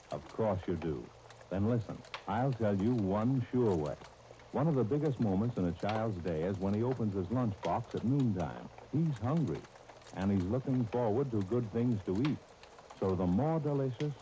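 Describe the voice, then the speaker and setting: A man working as a 1930s radio commercial announcer, reading a scripted sales pitch on an old recording. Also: dark tone. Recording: faintly noisy 1930s radio disc.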